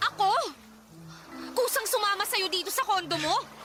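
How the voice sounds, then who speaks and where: A woman acting as a confused, hungover young woman in a radio drama, asking sharp, suspicious questions. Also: very high-pitched, lively intonation, normal speed, over loud music. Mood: accusing.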